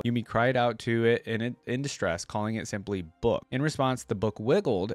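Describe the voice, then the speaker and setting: A man's voice: fairly low pitch, no room sound. A young man hosting a reaction video, casually commenting and reading lore aloud in an easygoing, conversational way into a close desk microphone. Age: young adult. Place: indoor room at a desk.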